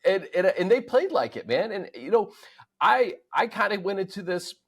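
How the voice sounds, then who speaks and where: American male sports podcast host, slightly high voice, casual conversational delivery. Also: big pitch swings, normal speed, husky.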